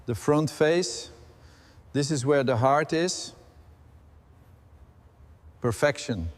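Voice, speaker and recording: A man hosting and narrating a restoration in a scripted, calm workshop commentary style. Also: on the high side, slow pace. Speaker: host narrating a motorcycle restoration. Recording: long hall-like reverb.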